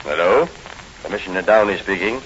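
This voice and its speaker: A man's voice: deep voice, lively intonation, a little fast, fairly bright tone. An actor playing a stern, skeptical middle-aged commissioner and worried father in a 1940s radio drama. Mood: anxious. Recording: muffled, faintly hissy old radio recording.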